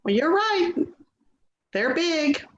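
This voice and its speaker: A woman's voice: very high voice, very animated, takes it slowly, a bit bright, dull audio, exaggerated voice. A woman in a play reading, playing an impatient, defensive grown daughter who sounds exasperated and dismissive. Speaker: actor in a readers theater play. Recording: roomy echo, slight background noise.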